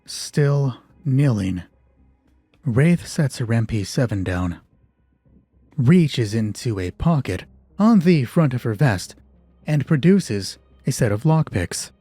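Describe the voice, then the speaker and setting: A man's voice: medium pitch, very animated, slow, warm tone, slightly rough, dry recording, soft background music. A man hosting a solo tabletop roleplaying game, narrating the story and explaining rules conversationally, thinking aloud with self-deprecating asides.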